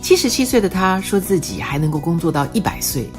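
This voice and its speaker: A woman's voice: fairly low pitch, expressive, quick pace. A woman in her sixties narrating a reflective, heartfelt voice-over. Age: 60s. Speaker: host. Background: soft music.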